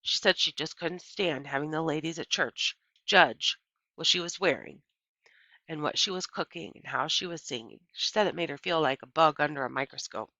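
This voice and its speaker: A woman voicing a gentle, reflective preacher father in a children's story reading, speaking in a measured way. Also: sits low, no room sound, highs cut off. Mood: wistful. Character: gentle, thoughtful preacher father recalling his wife. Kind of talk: children's audiobook character voice.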